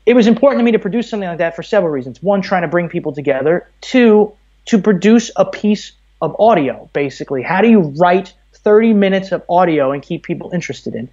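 A man's voice: high voice, expressive, quick pace, darkish tone. American guy on a podcast, explaining stuff casually, slightly muffled lo-fi sound. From Brooklyn, New York, USA. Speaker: podcast guest, audio producer. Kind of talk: casual interview conversation. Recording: slightly dull, muffled audio.

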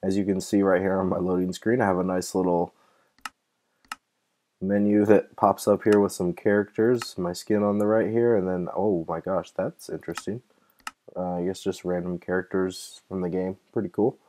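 A young man with a deep, mellow voice narrates his gameplay in a loose, chatty way. He gets excited easily and thinks aloud with plenty of fillers. He's a little sick, so his voice sounds slightly off. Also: moderate pace, a little hiss or hum. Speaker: gaming channel host. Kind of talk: casual let's-play commentary.

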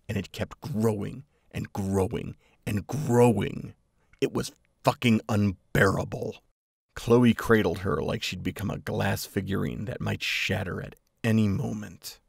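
Casting: male narrator for scripted fiction, very creaky and gravelly low voice, close and dry. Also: fairly even intonation, unhurried, dry recording. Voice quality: gravelly, husky.

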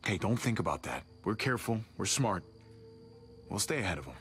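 An actor playing a calm, reassuring man in his thirties, a protective survivor in a zombie video game. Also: fairly low pitch, moderate pace. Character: steady, protective young uncle surviving a zombie apocalypse. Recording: faint music underneath.

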